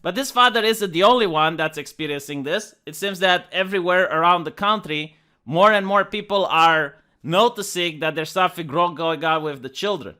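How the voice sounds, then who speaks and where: A man with a Romanian accent talks straight to his audience in an earnest, emphatic commentary. His voice sits high and sounds bright and forceful. He often restarts words and leans on "like" as he pushes his point. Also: normal speed. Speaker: online commentator. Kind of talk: commentary video monologue.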